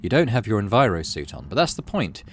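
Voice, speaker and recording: A man's voice: lowish voice, sing-song, fast talker, slight background noise. A man hosting a gaming playthrough, mixing casual commentary with read-aloud story narration. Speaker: gaming channel host. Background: game music.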